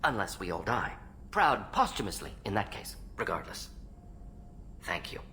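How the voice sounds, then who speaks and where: Clipped, lively alien scientist, low-voiced. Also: male voice, moderate pace, a little hiss or hum, heavily acted. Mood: proud, wry. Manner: clipped telegraphic phrases, clinical, omits pronouns. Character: fast-talking, analytical alien scientist, proud and ageing uncle. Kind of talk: video game dialogue acting.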